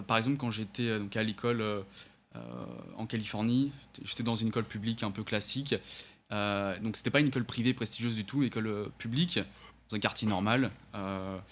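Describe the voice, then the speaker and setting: Young guy in his 20s talking fast about himself, lots of uh and restarts. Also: lowish voice, a bit bright. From United States, then France. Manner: hesitant, many fillers and restarts, self-corrects. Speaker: entrepreneur guest. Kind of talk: casual interview answers.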